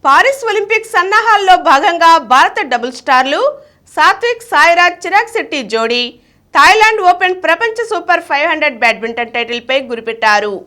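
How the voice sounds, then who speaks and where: High, bright woman newsreader. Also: very animated, fast talker. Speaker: news reader. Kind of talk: scripted news bulletin narration.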